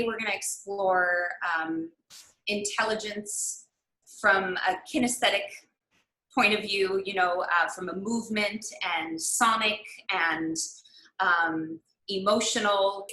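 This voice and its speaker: A woman raised in the United States leads an online master class over a video call, speaking in a reflective, searching way with frequent pauses and fillers. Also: medium pitch, sharp tone. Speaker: workshop leader. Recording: echoey room.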